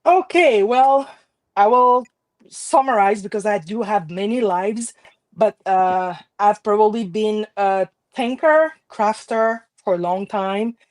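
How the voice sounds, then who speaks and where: A woman's voice: medium pitch, takes it slowly, darkish tone. A middle-aged woman from Haiti with a French Caribbean accent, chatting as a guest and laughing often. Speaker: guest crafter. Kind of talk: casual interview chat.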